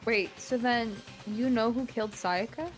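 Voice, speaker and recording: A woman voicing an earnest, questioning high school student in an amateur visual novel reading. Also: a little slow, music in the background, close to an everyday voice.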